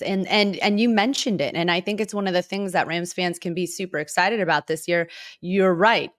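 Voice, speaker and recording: A woman's voice: mid-range voice, a bit bright, no echo. Female sports host, mid-range voice, conversational and informal, dry close recording. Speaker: sports show host interviewing a guest. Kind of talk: casual sports interview chat.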